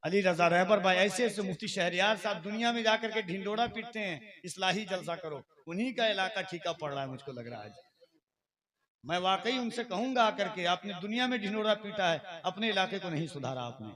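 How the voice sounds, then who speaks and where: A man's voice: high voice, expressive, fairly quick, a crowd in the background. A man addressing a religious gathering from the stage in a declamatory, admonishing style. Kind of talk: stage oratory, announcing and admonishing.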